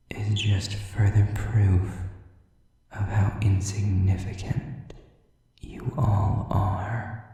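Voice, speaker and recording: Sadistic demon male actor, very dark low voice, breathy taunting whisper, contemptuous of humans, for audio roleplay, drenched in echo. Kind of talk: whispered dramatic monologue to the listener. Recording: distant, very echoey, muffled sound.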